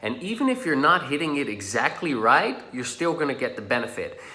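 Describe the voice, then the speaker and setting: A man's voice: mid-range voice, sing-song. A man whose first language is Dutch, talking casually and encouragingly as a coach leading a guided tapping lesson. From Netherlands. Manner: casual, encouraging, drops to soft and slow when guiding. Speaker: social anxiety coach. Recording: echoey room.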